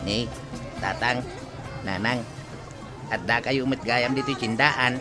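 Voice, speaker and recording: Man acting an easygoing young son-in-law, medium pitch. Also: slow pace, loud music behind the voice, over a noisy crowd, lightly acted. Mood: breezy. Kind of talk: Ilocano radio comedy drama acting.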